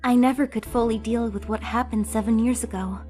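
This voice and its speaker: A woman plays an upbeat young lawyer in a game fandub. She sounds eager and open, and sometimes falters in her speech. Her voice sits fairly high. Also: steady tone, fairly quick, warm tone, faint music behind, close to an everyday voice. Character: upbeat young female lawyer, shy about past. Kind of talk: amateur game fandub acting.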